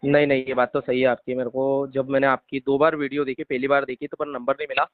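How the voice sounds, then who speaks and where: A man's voice: medium pitch, flat delivery, fast talker, slight background noise. A man chatting casually and supportively on the phone, agreeable and often repeating short affirmations. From Madhya Pradesh, India. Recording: dry narrow phone-call line.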